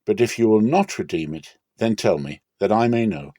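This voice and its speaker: A man acts out an authoritative landowner of biblical times, earnest and formal. He declaims emphatically, pitched up as if addressing elders, in a dry storybook recording. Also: animated, moderate pace, warm tone, no echo. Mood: formal, pressing.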